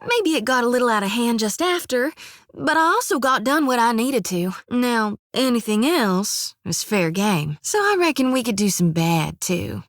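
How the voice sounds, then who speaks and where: A folksy rural priestess. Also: female voice, on the high side, very animated, moderate pace, dry recording, studio quality. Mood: earnest. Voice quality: folksy, rambling, earnest. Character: folksy young human priestess of death god, earnest. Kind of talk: video game voice acting.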